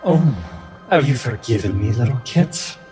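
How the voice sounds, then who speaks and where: A man's voice: medium pitch, expressive, moderate pace, darkish tone, faint music behind, close to an everyday voice. Man voicing a sly, taunting red fox.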